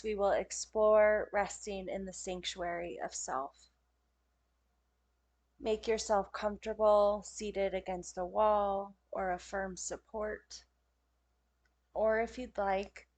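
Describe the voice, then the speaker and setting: A woman speaks very slowly as she guides a relaxation meditation. Her pitch sits in a medium female range. She gives gentle, soothing instructions and leaves space between short phrases. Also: steady tone. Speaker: meditation teacher. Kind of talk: guided yoga nidra meditation.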